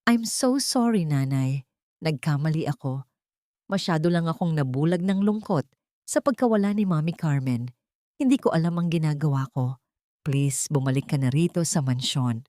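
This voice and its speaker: A woman's voice: low voice, expressive, moderate pace, warm tone, no echo, clean studio sound. A woman voicing a manipulative rich younger woman in a storybook drama, coaxing and theatrical with hidden cruelty. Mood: sweet, tearful pleading.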